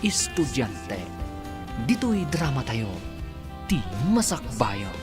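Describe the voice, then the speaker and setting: Ilocano accent guy announcing a radio serial, dramatic, mid pitch, music under him. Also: big pitch swings, takes it slowly. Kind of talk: scripted narration.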